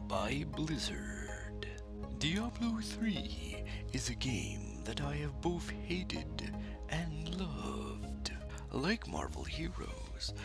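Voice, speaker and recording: A man's voice: on the high side, creaky. A man narrating a scripted top-five game review list in a pompous, dramatic, formal manner over music.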